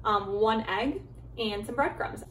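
A woman's voice: fairly high pitch, a little slow, fairly bright tone, distant mic. An American woman in her thirties chatting informally as she gives a casual home cooking demonstration. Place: home kitchen, some parts in a backyard garden. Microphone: phone or camera at arm's length. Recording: echoey kitchen, clear background noise.

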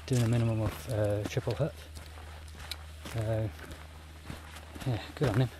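An older Australian man chatting casually as he vlogs from a bush campsite, relaxed and rambling with fillers. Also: fairly low pitch, measured pace, noisy background. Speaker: outdoor gear vlogger. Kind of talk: casual vlog narration. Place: outdoors in bushland at a trail shelter. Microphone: action camera on the body or nearby. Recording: outdoor camera audio with clear bush ambience.

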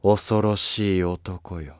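Empress dowager played by a man, older, stern and wary, curt archaic phrasing, measured, dry history-drama sound. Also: sits low, fairly even intonation, clean tone, no room sound. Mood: wary, imperious. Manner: curt, commanding, archaic speech.

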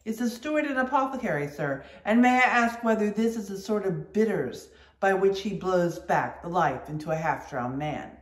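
A reader voicing a boisterous, mocking whaling mate who rants loudly in indignation. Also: medium pitch, animated, moderate pace, hardly any pauses, echoey room, close to an everyday voice. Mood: indignant. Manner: loud, mocking, indignant outbursts. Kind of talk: audiobook character reading.

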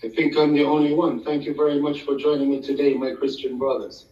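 A man speaking as a guest in a debate, giving a slow, flowery, sermon-like speech. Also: a little high, little pitch movement, echoey room, distant mic, highs cut off.

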